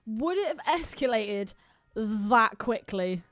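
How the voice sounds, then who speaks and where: Female podcast co-host, higher-pitched and very lively, blunt teasing banter, casual delivery, close dry sound. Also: slow pace, dry recording. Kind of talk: casual film commentary banter.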